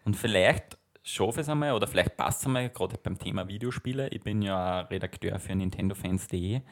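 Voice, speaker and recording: A man's voice: deep voice, fast talker. A man in his thirties with an Austrian accent, co-hosting a podcast in casual, jokey chat full of self-mockery. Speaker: podcast co-host.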